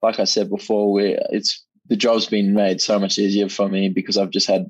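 A man's voice: fairly low pitch, completely flat delivery, normal speed, husky, dry recording, dull audio. A young man, a rugby player, answering interview questions modestly and repeating words as he thinks, heard over a remote line. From Zimbabwe.

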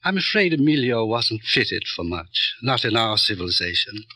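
An actor playing a smug, calculating middleman who runs a barrio, talking in a smooth, condescending, pompous way in an old radio crime drama. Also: on the low side, very animated, moderate pace, bright, forceful tone, no room sound, full character voice. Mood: self-satisfied.

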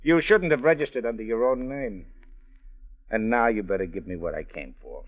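A man's voice: fairly low pitch, lively intonation, moderate pace, natural voice. Guy playing a sarcastic menacing tough guy, old radio crime show, swingy voice, phone-like audio. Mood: menacing, smug. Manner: sarcastic, mock-friendly. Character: smug, menacing smooth-talking tough guy. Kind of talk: 1940s radio crime drama acting. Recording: tinny, narrow-band old radio recording.

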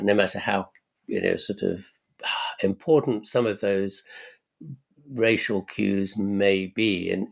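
A man whose first language is Swahili, giving slow, thoughtful interview answers with many fillers and restarts. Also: fairly low pitch, creaky, breathy edge. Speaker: academic guest.

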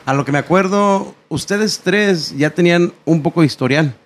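A man's voice: sits high, moderate pace. A man with a Mexican accent hosting a casual interview, slangy and mixing in English phrases. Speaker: podcast host.

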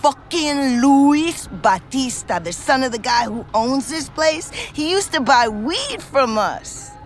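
A woman's voice: sits high, animated, soft background music. Streetwise, weary ex-con woman, confiding. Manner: conversational, halting, profane slang. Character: streetwise, weary ex-convict woman, frank and wistful. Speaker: lead actor and narrator in scripted fiction podcast. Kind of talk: intimate first-person audio drama narration.

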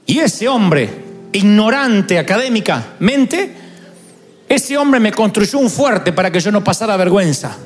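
A man in his fifties preaching a fervent sermon as pastor, building up to shouts. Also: high-pitched, sing-song, unhurried, faint music behind. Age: 50s. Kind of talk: impassioned sermon.

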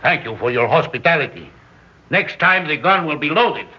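A man's voice: fairly high pitch, animated, moderate pace, husky. A man acting a menacing, sardonic armed robber in a classic radio drama. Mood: taunting, sardonic. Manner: taunting, threatening, sardonic. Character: menacing male armed robber, cynical, threatening. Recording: dull old radio drama recording.

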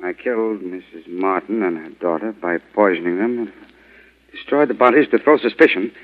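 An actor playing a gruff, excitable police commissioner in 1940s radio drama style. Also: male voice, fairly low pitch, moderate pace, narrow, tinny audio, lightly acted. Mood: astonished. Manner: blustery, emphatic.

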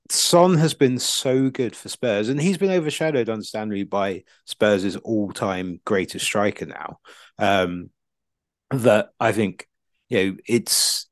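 A man talks as a casual football co-host, wandering discursively through his points. His pitch is on the low side. The recording is dry and close, with no room sound. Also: no room sound. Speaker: podcast co-host and pundit.

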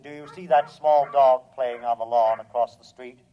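A man's voice: medium pitch, steady tone, moderate pace, close to an everyday voice. A humanoid robot, acted by a man in a vintage radio play, speaks in a middle register with cold courtesy. Every phrase is formal, exact and matter-of-fact, and he sounds sure of his superiority. The old recording is dull and lo-fi. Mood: coolly menacing. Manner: formal, precise, matter-of-fact speech without contractions. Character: calm, superior male humanoid robot, coldly polite. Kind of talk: old-time radio drama acting.

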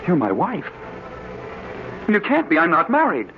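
A noisy, muffled old radio drama recording carries a hard-drinking man who has lost his memory. He is anguished and bewildered, his pitch leaping about as he pleads in full 1940s melodrama. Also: huge pitch swings, a little slow, heavily acted. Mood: bewildered, indignant. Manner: emotional, melodramatic.

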